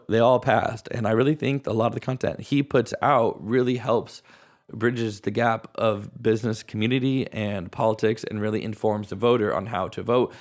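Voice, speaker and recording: A man with a raspy voice, hoarse from a cold, hosting a podcast at home in a casual, rambling way. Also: on the low side. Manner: casual, rambling, thinks aloud with restarts. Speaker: podcast host. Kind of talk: solo podcast talk.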